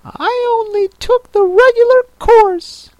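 A woman voicing a mournful, touchy, sobbing turtle creature from a storybook, with a drawn-out, tearful delivery. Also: extremely high pitch, dry recording, pitched above the performer's own voice, rough recording.

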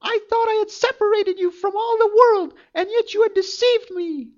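A man voicing a wicked old enchantress in a fairytale, shrill and commanding, with imperious drawn-out calls. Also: darkish tone, muffled recording. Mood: furious.

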